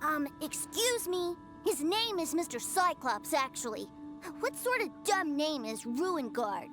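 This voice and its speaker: A small boy in a video game, very high and childlike. He speaks with proud, trusting earnestness and lively rises and falls, with music behind. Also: a little slow. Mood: earnest, offended.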